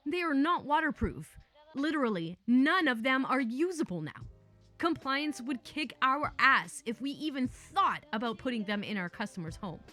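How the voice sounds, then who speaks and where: A sensible male meter engineer, reasonable and exasperated, as a woman reading a story voices him. He sounds bright and high, with a lively, rising and falling pitch. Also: moderate pace, soft background music, exaggerated voice.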